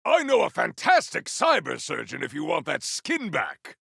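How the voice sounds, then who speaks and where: An actor playing a bombastic, vengeful warrior hero in a video game, declaiming battle cries in a theatrical, archaic, grandiose way with a gravelly, raspy voice. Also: male voice, on the high side, huge pitch swings, moderate pace, a bit bright, no echo, studio quality, exaggerated voice. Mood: wry, taunting. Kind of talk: video game combat barks.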